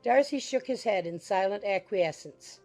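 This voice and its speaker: Female narrator for dramatized classic audiobook. Mid-pitched voice, scripted literary read, music underneath. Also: animated, moderate pace, a little mellow.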